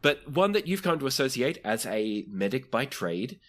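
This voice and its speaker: A man's voice: medium pitch, sing-song, normal speed, fairly bright tone, faint music behind. A man acting as game master, narrating the action and refereeing the rules of a tabletop roleplaying game.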